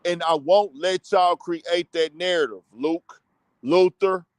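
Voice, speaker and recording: A high-voiced man talks straight to his viewers in a casual commentary style. He keeps putting rhetorical questions to them. The sound is dry and close, with no room echo. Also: slow. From United States. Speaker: commentary show host.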